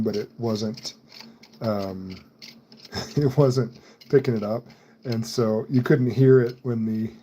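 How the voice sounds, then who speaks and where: A man's voice: lowish voice, warm tone, a little hiss or hum. A man hosting a live stream, chatting casually and laughing often while he works on computer parts. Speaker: livestream host building computers. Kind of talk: casual chat while working.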